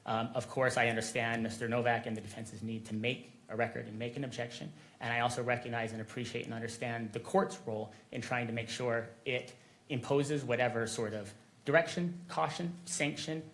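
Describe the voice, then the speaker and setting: A man speaking as an attorney in court, making a measured, deliberate formal argument. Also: medium pitch, fairly bright tone. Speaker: attorney for a media coalition.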